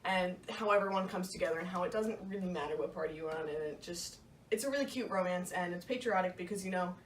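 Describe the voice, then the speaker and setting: A young woman with a slightly low voice talks casually and earnestly about books, wording things loosely as she goes. The recording is roomy and a bit far from the mic, with light noise. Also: fast talker. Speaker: book vlogger, co-host. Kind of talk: casual book chat. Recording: echoey room, distant mic, faint noise.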